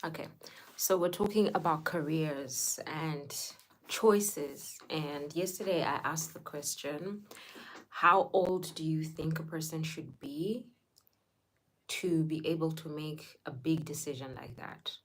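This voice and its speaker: A woman's voice: deep voice, slow. A young woman from Zimbabwe hosting a casual livestream, chatting with viewers, greeting them by name and laughing often. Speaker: livestream host.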